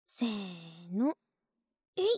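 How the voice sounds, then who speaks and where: A woman playing a clingy, sweet young neighbor in an ASMR voice drama, soft and breathy, often whispering, intimate and coaxing, close to the mic. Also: mid-range voice, sing-song, drawn-out words, dry recording, full character voice. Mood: coaxing, playful. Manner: intimate, affectionate, hesitant and coaxing. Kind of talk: Japanese ASMR situation voice drama. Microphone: close, dry ASMR microphone.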